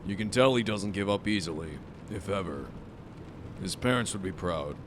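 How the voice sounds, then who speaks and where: Formal school official, fairly low pitch, serious, reserved, audio drama, faint noise behind. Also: male voice, expressive, moderate pace. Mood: somber.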